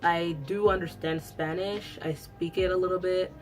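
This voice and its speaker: A woman's voice: fairly low pitch, steady tone, normal speed, faint music behind. A young woman whose first language is Italian, chatting casually to camera at home, rambling with lots of restarts. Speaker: beauty vlogger. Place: at home after a shower.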